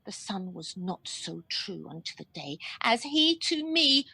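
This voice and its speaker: A woman's voice: medium pitch, very animated, a little slow, creaky, sharp tone, husky, no room sound, exaggerated voice. A woman playing a fierce, distraught young woman in a radio Shakespeare play, scolding and accusing in impassioned verse.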